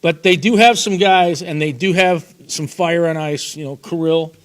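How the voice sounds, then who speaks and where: A man co-hosts a sports talk show in a folksy, opinionated way. His voice sits a little on the high side. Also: moderate pace. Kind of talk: casual sports chat.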